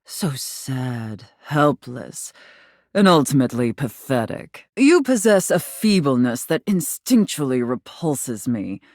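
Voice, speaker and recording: Haughty low-voiced dominatrix mistress. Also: female voice, darkish tone, dry recording, studio quality, heavily acted. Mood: disdainful, intrigued. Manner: imperious, condescending, drawn-out seductive menace. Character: haughty dominatrix mistress, a living safe, contemptuous and teasing. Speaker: video game character voice. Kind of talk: theatrical scripted game dialogue.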